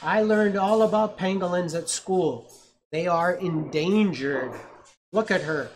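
A reader voicing a young girl who begs loudly to save an animal in a storybook. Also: mid-range voice, takes it slowly, slight background noise, natural voice. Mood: pleading. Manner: pleading, raised and urgent.

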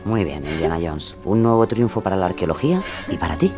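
A male actor playing a knowing, sardonic narrator in an audio drama, measured and dryly ironic. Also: deep voice, big pitch swings. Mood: wry. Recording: music behind the voice.

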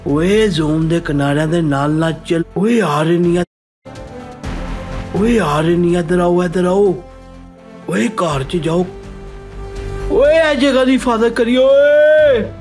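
An actor playing an excitable male Punjabi soldier in a mobile game, barking comic, commanding battle callouts. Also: sits high, slow pace, long pauses. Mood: alarmed, urgent. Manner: exclamatory, commanding. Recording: game audio with music behind.

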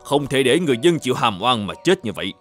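A resolute magistrate in a cartoon folk tale. His mid-pitched voice moves widely in tone, formal and commanding, with music underneath. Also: sing-song, fairly bright tone. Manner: authoritative, measured, formal. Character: upright, determined provincial governor and magistrate.

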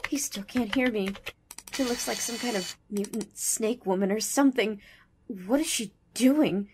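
A woman's voice: on the high side, normal speed, noisy background, natural voice. A woman playing an anxious, determined young friend of a hero, thinking aloud in an audio drama. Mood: worried, musing.

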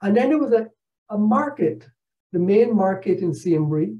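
An older man from Barbados giving a narrated travel slideshow over a video call, speaking in a measured, halting, explanatory way. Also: high-pitched, lively intonation. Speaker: presenter, retired professor. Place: indoor room at home, on a video call. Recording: dull video call audio.

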